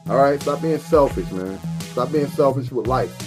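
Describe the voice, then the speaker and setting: A man's voice: medium pitch, expressive, moderate pace, music in the background. A man in his thirties talking casually about beer and cigars, loose and repetitive, swearing and drifting into preachy life advice. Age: 30s. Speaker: vlogger reviewing beer and cigars. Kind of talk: casual rambling monologue.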